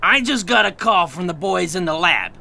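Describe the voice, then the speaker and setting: Man playing a blustering, bright-voiced high-pitched agency chief. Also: expressive, moderate pace, vocal fry, exaggerated voice. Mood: brooding. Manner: exasperated, blustering, barking orders. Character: irritable, eccentric male intelligence agency chief. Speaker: voice actor. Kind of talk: comic sci-fi audio theatre acting.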